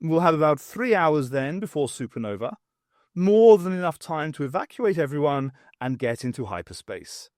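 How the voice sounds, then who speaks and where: Man playing a calm middle-aged starship captain. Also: on the high side, moderate pace, dry recording, studio quality. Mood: reassuring.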